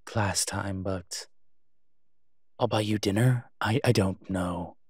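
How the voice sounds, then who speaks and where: A man playing a proud, flirtatious incubus demon professor in an intimate audio roleplay, husky and teasing, with hesitant, drawn-out pauses. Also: lowish voice, a little mellow, no room sound, studio quality. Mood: awkwardly flirtatious.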